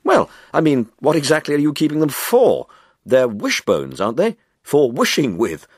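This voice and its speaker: Guy narrating does a nosy prodding bloke in a story, higher pitched, insistent questions, lively. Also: moderate pace, husky, dry recording, clean studio sound, exaggerated voice. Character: nosy male acquaintance, humouring and prodding. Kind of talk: character voice in a story reading.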